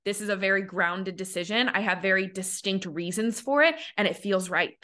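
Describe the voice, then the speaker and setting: A woman's voice: mid-range voice, moderate pace, sharp tone. A young woman hosting a podcast as a money coach, talking casually with lots of "like" fillers and acting out the voices she quotes. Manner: chatty, many "like" fillers, acts out quoted voices.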